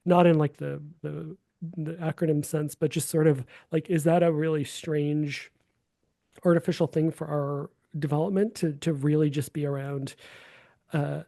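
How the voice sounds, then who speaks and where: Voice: mid-range voice, fairly even intonation, normal speed, creaky, dark tone, dry recording, studio quality. A podcast host asking long, hesitant, rambling questions. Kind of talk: casual podcast interview.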